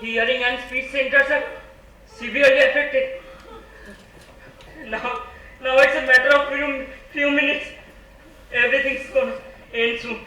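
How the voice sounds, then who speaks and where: A woman with an Indian accent acting on stage as a desperate neuroscientist in a medical emergency, halting and repeating herself. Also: high-pitched, steady tone, pushed and bright. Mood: despairing. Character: panicking woman neuroscientist fighting a stroke, despairing. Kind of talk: amateur stage play acting. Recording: echoey noisy stage, distant microphone.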